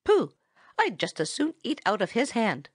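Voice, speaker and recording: A woman's voice: a little high, wildly swooping pitch, normal speed, no echo, clean studio sound, voice pushed up. Woman doing a chirpy little chickadee bird. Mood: breezy, busy. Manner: chirpy, bubbly and merry. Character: cheery boastful little male chickadee bird.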